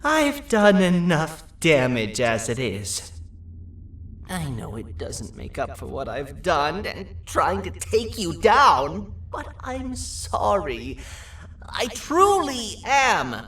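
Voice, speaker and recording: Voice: mid-range voice, very animated, takes it slowly, a bit bright, recorded from a distance, heavily acted. An actor voicing a nervous, guilt-ridden cartoon mascot creature in a video game, hesitant and apologetic. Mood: remorseful, hesitant. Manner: hesitant, apologetic, erratically swooping. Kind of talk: video game character dialogue. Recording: echoey, off-mic game audio.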